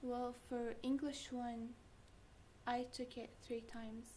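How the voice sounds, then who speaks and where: Young female student from Syria; relaxed, filler-heavy answers in a monotone, light noise. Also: fairly high pitch, slow. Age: young adult. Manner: casual, frequent "like" fillers. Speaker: student guest. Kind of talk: interview answers.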